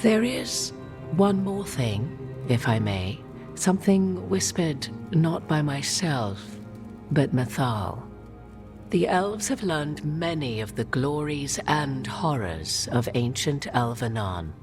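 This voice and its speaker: A proud, cryptic sorceress who speaks in old-fashioned, measured phrases. Her voice rises and falls widely as she lets out her secrets one at a time. She sounds aloof and sure of herself. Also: low-pitched, big pitch swings, music in the background, full character voice. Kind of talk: video game cutscene acting.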